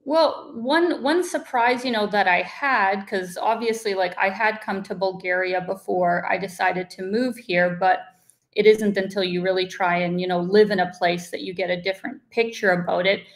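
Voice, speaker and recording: चैटी युवा कनाडाई लहजे वाली महिला. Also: female voice, medium pitch, steady tone, normal speed. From Ukraine, raised in Canada. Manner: chatty, many "like" and "you know" fillers. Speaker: guest. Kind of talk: casual conversational interview.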